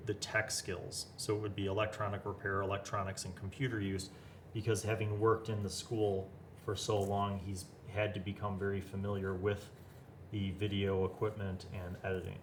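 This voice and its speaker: A man hosting a casual chat, thinking aloud and asking quick questions while building a game character. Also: lowish voice, flat delivery, a little hiss or hum. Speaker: host.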